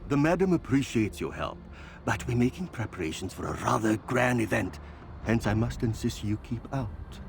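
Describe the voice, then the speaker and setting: An actor playing a stiff, officious palace butler in a game cutscene, formal and pompous. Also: male voice, medium pitch, animated, normal speed, vocal fry, slight background noise. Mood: officious, scheming. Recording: music behind.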